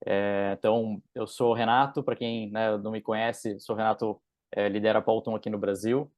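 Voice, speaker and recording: Brief: Brazilian man, lowish voice, casual webinar host, dry sound. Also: flat delivery, a little fast, darkish tone, no echo. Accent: Brazilian Portuguese. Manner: casual, many fillers and restarts. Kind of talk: webinar hosting. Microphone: video call.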